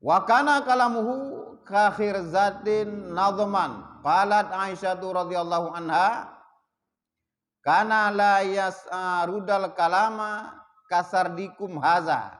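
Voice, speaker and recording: A man with an Acehnese accent teaching a religious study group, slowing down to chant Arabic passages and asking listeners rhetorical questions. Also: high-pitched, a bit bright, in a large hall. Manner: mixes plain explanation with slow chanted Arabic readings; asks the audience rhetorical questions. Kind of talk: kitab study lesson. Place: prayer hall.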